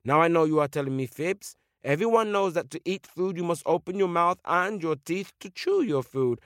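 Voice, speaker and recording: A man's voice: fairly high pitch, lively intonation, moderate pace, a bit bright, no echo, clean studio sound, pitched above the performer's own voice. A man voicing a proud royal eagle in a folktale, with a lofty, scolding manner. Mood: suspicious. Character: regal male eagle, stern and proud.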